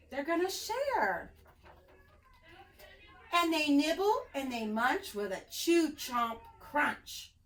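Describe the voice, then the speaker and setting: A woman reading a picture book aloud like a preschool teacher, expressive and sing-song for young children. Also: a little high.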